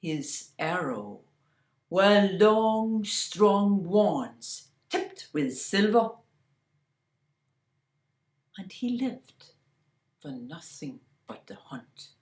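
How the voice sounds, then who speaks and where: A woman telling a fairy tale slowly and deliberately, sometimes dropping to a hushed whisper. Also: medium pitch, big pitch swings, echoey room. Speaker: storyteller. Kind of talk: oral fairy-tale storytelling.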